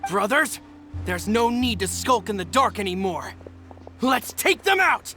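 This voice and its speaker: A man's voice: high voice, sing-song, unhurried, bright, forceful tone, faint music behind, full character voice. An actor playing a hot-headed, resentful male thug in a video game, grudging and aggrieved. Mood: aggressive.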